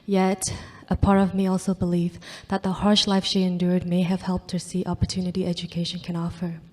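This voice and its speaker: A woman's voice: lowish voice, completely flat delivery, a little fast. A woman whose first language is Karen, reading her personal memoir essay in an earnest way, with small grammar slips. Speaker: student reader.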